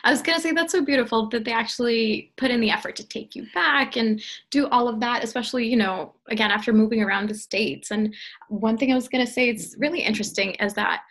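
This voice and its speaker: A woman's voice: a little high, fairly bright tone. A young woman co-hosting a podcast interview, talking in a friendly, chatty way with plenty of fillers. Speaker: podcast co-host. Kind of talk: interview conversation.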